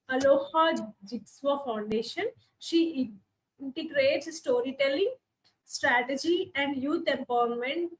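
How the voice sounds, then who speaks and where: Woman with a high voice, formal online summit host. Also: a bit bright, low-quality recording. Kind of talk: reading a scripted introduction.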